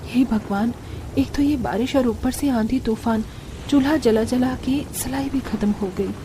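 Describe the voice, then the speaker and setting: A woman's voice: fairly high pitch, unhurried, background noise, close to an everyday voice. A woman voicing a put-upon, self-respecting young sister-in-law in a cartoon story, plain-spoken and aggrieved. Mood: weary pleading. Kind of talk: Hindi cartoon story acting.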